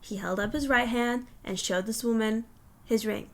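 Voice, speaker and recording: A woman reading a humorous personal essay aloud in an expressive, emphatic, mock-stern way. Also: a little high, moderate pace.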